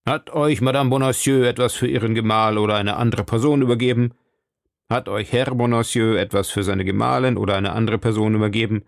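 A man's voice: on the low side, fairly even intonation, brisk, vocal fry, no room sound, clean studio sound. A man voicing stern male interrogators asking formal, probing questions.

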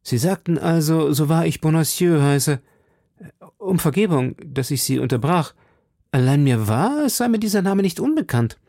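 A man's voice: a little high, big pitch swings, gravelly, warm tone, dry recording, studio quality, pitched above the performer's own voice. Man voicing a brash, eager young gallant. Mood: surprised, courteous. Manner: brisk questioning, exclamatory. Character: brash, inquisitive young gallant, proud and impulsive. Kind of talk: audiobook character dialogue.

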